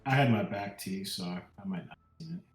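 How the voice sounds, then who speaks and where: A man's voice: lowish voice, animated, fast. A man bantering casually as a player in a tabletop game, recorded in an echoey room. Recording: echoey room, music behind. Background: background music.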